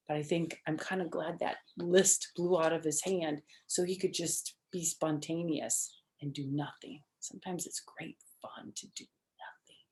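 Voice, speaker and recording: A woman's voice: fairly low pitch, darkish tone, slight background noise. A woman reading a children's story aloud expressively, with chatty asides, questions and simple explanations for young listeners.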